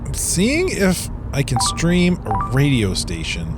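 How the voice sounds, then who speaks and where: An actor playing a goofy, carefree snack-loving slacker who talks slowly and gets excitable, in a radio drama. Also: male voice, medium pitch, loud music behind the voice. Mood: lazy. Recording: steady road noise behind. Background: car ambience.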